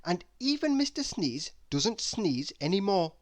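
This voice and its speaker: A narrator reading a children's story aloud in an expressive, scripted storytelling style. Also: medium pitch, unhurried, no room sound. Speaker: storybook reader.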